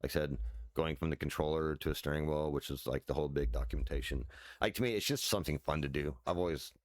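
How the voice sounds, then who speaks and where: Very deep-voiced man rambling casually. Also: quick pace, no echo. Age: 40s. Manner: loose, rambling, many fillers and restarts, swears casually. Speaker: streamer. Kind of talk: casual rambling chat while sim racing. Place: his house.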